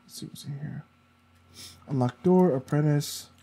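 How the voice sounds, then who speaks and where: A man's voice: on the high side, measured pace, mellow, slightly rough. Sniffly man muttering through a game. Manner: muttering to himself while playing. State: sniffly, congested. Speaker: let's play gamer. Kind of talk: casual gameplay commentary.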